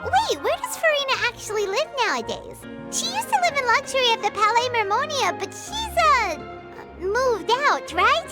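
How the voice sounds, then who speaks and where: A woman's voice: very high-pitched, big pitch swings, moderate pace. A woman voicing a small, chatty, cheeky fairy companion in a video game, who talks about herself in third person, over game music. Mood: puzzled. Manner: speaks of herself in third person, blunt teasing. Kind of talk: studio video game voice acting.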